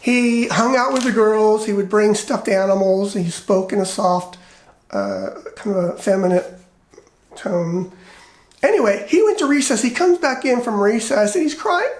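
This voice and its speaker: Middle aged american guy, light effeminate high voice, rambly teacher storytelling, roomy echo. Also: measured pace. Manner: animated, rambling, acts out quoted dialogue. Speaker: retired teacher. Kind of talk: casual personal storytelling and commentary. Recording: roomy, echoey indoor sound.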